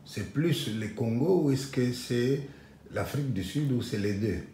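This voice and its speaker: A man hosting an interview, putting calm questions to his guest. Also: mid-range voice, a little slow, a little hiss or hum. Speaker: interviewer, channel host. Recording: echoey room.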